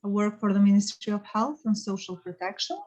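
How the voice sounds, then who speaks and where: A woman from Albania, briefly and quickly introducing herself. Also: mid-range voice, a little mellow. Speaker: biomedical engineer. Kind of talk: self-introduction in a meeting. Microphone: video call. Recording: dull, distant video call audio.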